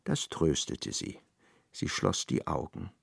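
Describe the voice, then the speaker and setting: Gravelly, expressive male radio play narrator. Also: extremely low pitch, takes it slowly, dry recording. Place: dry studio.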